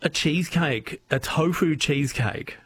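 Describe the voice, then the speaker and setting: A man's voice: a little high, measured pace, slight background noise. An Australian man hosting a casual radio chat, upbeat and chatty, laughing easily. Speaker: radio host.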